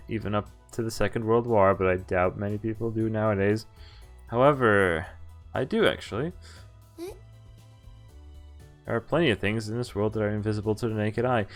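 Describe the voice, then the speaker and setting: A man streaming a visual novel, reading its text aloud and adding casual, amused, informal commentary. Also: on the low side. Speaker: game streamer. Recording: background music behind the voice. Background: game music.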